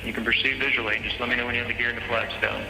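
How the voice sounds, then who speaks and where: Guy doing air traffic control over radio, very bright tense voice, flat pitch, calm instructions, music under it. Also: very fast, roomy sound, long reverb tail, poor audio. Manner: calm, procedural, reassuring. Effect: radio transmission.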